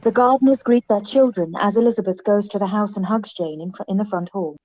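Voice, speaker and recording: A woman's voice: medium pitch, a little fast, darkish tone, poor audio. A woman reading scripted stage directions as the narrator of a radio play.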